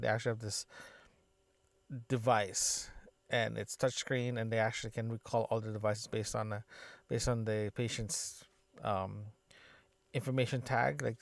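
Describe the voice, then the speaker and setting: A man with a noticeably creaky, fry-laden voice, recorded close and very dry. He talks in a loose, casual podcast diary style, feeling his way through sentences and often doubling back to fix his wording. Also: medium pitch, no echo. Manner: halting, circles back and self-corrects often. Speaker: podcaster, system designer. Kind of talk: casual solo audio diary, rambling chat.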